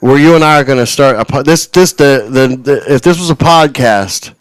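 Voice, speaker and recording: A man's voice: medium pitch, normal speed, no echo, low-quality recording. A man in his forties hosting a radio show, chatting casually, rambling with frequent restarts and chuckles. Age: 40s. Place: radio studio.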